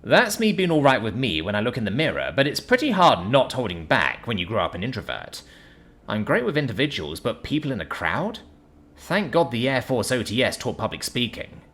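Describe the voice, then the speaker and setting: A man's voice: mid-range voice, very animated, fairly quick, a bit bright. A man voicing an introverted male former Air Force officer who talks earnestly and chattily. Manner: earnest, self-doubting, chatty.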